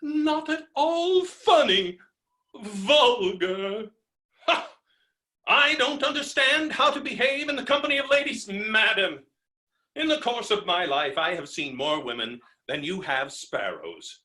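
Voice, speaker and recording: A man's voice: high voice, expressive, slow pace, sharp tone, heavily acted. A man playing a blustering, boorish, melodramatic fellow who rails against women in a theatrical, mocking way, in a stage comedy reading. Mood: melodramatic scorn.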